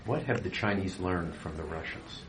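A man's voice: low voice, normal speed, a bit bright. A man hosting an interview, opening formally and then asking short, direct probing questions. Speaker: interviewer, organization president.

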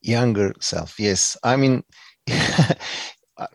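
A man's voice: mid-range voice, drawn-out words, vocal fry, dry recording. A man from Turkey with a Turkish accent, giving earnest, reflective answers as an interview guest, with many fillers and restarts.

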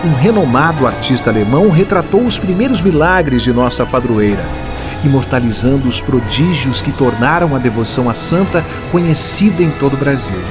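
A man with a Brazilian accent, reading solemn, devotional scripted narration as a radio drama narrator. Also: mid-range voice. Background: music.

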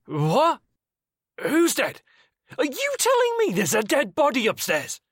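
Someone acting a pissed off hotel guest, raspy, voice swinging all over, swears a lot. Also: high-pitched, wildly swooping pitch, measured pace, dry recording, clean studio sound, heavily acted. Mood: outraged. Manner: blustering, profane, incredulous. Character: irate, foul-mouthed, indignant hotel guest. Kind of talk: audio drama acting.

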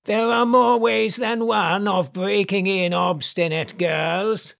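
A man voicing a stern, wrathful, domineering father in a classic novel reading, with a creaky voice and menacing, emphatic delivery. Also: high-pitched, fairly even intonation, takes it slowly, fairly bright tone, dry recording, clean studio sound, exaggerated voice. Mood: stern, threatening. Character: stern, wrathful middle-aged father, domineering and doom-laden.

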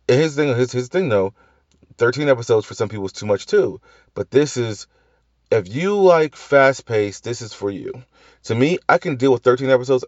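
Low-voiced man rambling through a review. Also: a little mellow, dry recording, highs cut off. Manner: rambling with tangents, frequent restarts and mild swearing. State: slight cough left after flu. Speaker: podcast host reviewing TV shows. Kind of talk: casual solo review chat.

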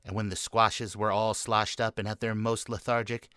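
Guy doing a gloomy melodramatic kid, low voice, dry recording, spooky radio play storytelling, very grand. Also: little pitch movement, normal speed, sharp tone, clear, resonant tone, no echo. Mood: solemn, grandiose. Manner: grandiose, florid, solemn storytelling. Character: morose, melodramatic boy telling a doom-laden family curse tale. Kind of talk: comic gothic radio play acting.

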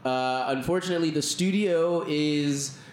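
Man, American, podcast host, slow-paced, informal folksy talk, faint background noise. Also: fairly high pitch, roomy sound. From Texas, United States. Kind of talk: casual news-talk podcast. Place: indoor studio under renovation.

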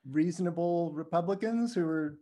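A man's voice: a little high, moderate pace, warm tone. A man from New Mexico chatting casually as a podcast guest, hesitant and often searching for words. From New Mexico, USA. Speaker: podcast guest, screenwriter and audio drama creator. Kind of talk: casual chat.

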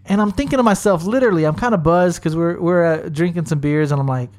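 A man in his thirties co-hosting a podcast, telling crude stories with voiced impressions in loud, animated banter. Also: a little high, fast talker. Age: 30s. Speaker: podcast co-host.

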